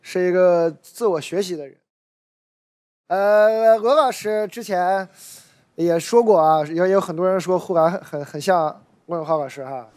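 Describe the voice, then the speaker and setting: A man hosting a comedy show, smoothly leading the audience vote and the chat. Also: high voice, normal speed, darkish tone. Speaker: show host.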